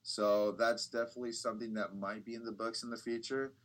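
A young man with a fairly low voice talks casually about his reporting work. His answers ramble, circling back with fillers and false starts, easy and unhurried. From United States. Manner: relaxed, rambling, many restarts and fillers. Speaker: bilingual TV reporter, guest. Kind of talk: casual interview talk.

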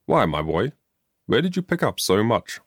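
A man's voice: lowish voice, big pitch swings, moderate pace, breathy edge, dry recording, studio quality, full character voice. Man reading a scholarly, kindly school principal. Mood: impressed.

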